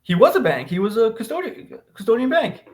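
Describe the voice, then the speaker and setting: A man's voice: very high-pitched, big pitch swings, a little fast. A man co-hosting a podcast, casually discussing a book in an opinionated way and posing questions to debate.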